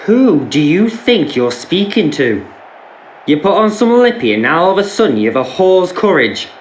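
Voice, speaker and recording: A man's voice: sits high, sing-song, moderate pace. A man with a British accent playing a controlling, jealous, abusive husband in an audio drama, aggressive and sneering as he interrogates. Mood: angry, menacing. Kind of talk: naturalistic audio drama acting. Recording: roomy sound, faint background noise.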